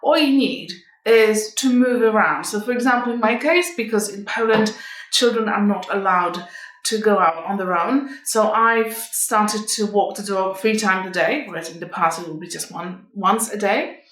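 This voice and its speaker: A middle-aged woman giving advice to viewers as a vlog host, in a measured, explanatory manner. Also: medium pitch, fairly bright tone. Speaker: communication coach and vlog host. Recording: echoey room, distant microphone.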